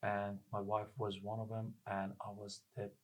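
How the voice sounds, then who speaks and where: A man from Iran with an Iranian accent, a plumber telling his story as a guest in a casual interview, pausing often to find his words. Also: fairly low pitch, completely flat delivery. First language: Persian. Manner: halting, searching for words.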